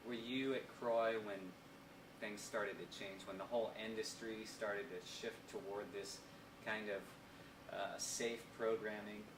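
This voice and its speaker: An American man interviewing casually, somewhat halting as he searches for words. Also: lowish voice, fairly even intonation. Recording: echoey, noisy room, distant mic.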